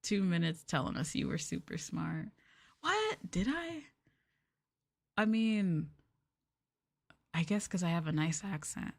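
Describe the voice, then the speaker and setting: A young Canadian woman streaming late-night chat into a close mic, her voice sitting a little low for a woman. She rambles in a relaxed, giggly way, full of fillers, and often makes fun of herself. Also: slow pace, vocal fry, pauses often. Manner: rambling, giggly, self-deprecating, lots of "like" and "um". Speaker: VTuber streamer.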